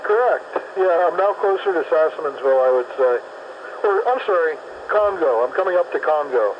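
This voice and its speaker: A man's voice: fairly high pitch, expressive, moderate pace. A man chatting over CB radio from his vehicle while delivering papers, friendly and talkative, giving his location. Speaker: CB radio operator driving a paper route. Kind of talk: casual CB radio chat. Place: moving vehicle on a country road. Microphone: CB radio handset. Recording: noisy lo-fi CB radio transmission.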